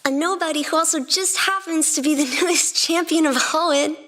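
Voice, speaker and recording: A woman's voice: high voice, moderate pace, fairly bright tone, pitched above the performer's own voice. A woman voicing a spirited, cheeky teenage girl with a playful, theatrical delivery in a fan fiction reading. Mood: curious, cheeky.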